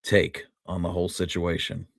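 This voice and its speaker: A man's voice: deep voice, completely flat delivery, normal speed, creaky, dry recording, studio quality. A man co-hosting a history show in casual chat, choosing his words carefully and hesitantly.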